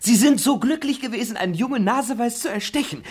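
A husky, sly servant in a classic radio play, swinging pitch, teasing. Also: male voice, lively intonation, quick pace, darkish tone, heavily acted. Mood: mocking. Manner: teasing, laughs at his master. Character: cheeky scheming young manservant, mocking liar. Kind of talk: stage radio play acting.

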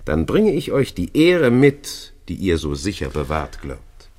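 An actor playing a brash, scheming seducer in a classical German radio play, with boastful, declamatory delivery. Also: male voice, low voice, huge pitch swings, measured pace, a little mellow, heavily acted. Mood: boastful, provoking.

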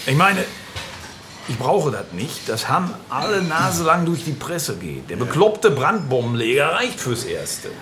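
Jovial local fixer, highish voice, lively swoops, blunt, patronising, folksy, radio drama. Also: male voice, measured pace. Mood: firm, patronising. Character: jovial, domineering small-town power broker, greedy eater. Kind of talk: naturalistic radio drama acting.

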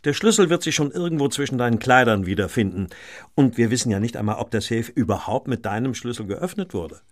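An actor playing an agitated, well-to-do married gentleman in a German radio drama, speaking formally and fretfully. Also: mid-range voice, lively intonation, fast talker, natural voice. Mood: tense, defensive.

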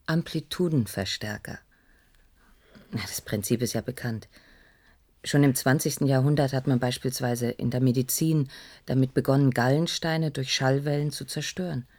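An actress playing a weary, shaken researcher in a German radio play, hesitant and earnest, with a breathy, husky voice. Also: female voice, a bass voice, moderate pace, darkish tone. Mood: subdued, explanatory. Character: weary, shaken female researcher recounting a disaster.

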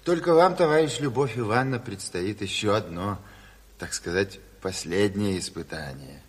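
An actor playing a folksy revolutionary official in a theatrical radio drama, mock-polite, teasing and sly. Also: male voice, on the low side, expressive, moderate pace, slightly rough. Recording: reverberant 1970s radio studio.

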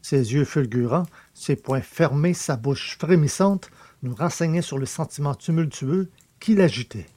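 A man's voice: fairly high pitch, lively intonation, a little slow, darkish tone, no room sound. A man reading literary fiction aloud as a scripted audiobook narration.

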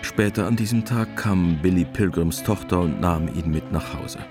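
A man narrating a literary war story in a radio play, measured, detached and matter-of-fact. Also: deep voice, close to an everyday voice. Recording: music under the narration.

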